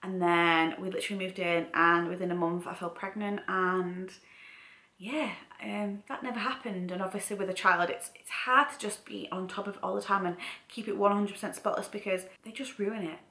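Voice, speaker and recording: Bright-voiced young Mancunian woman, echoey room. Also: medium pitch, steady tone, moderate pace. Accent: Mancunian with some Scouse. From Manchester, England. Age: young adult. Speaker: vlogger. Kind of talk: casual chatty vlog. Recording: roomy, echoey home room.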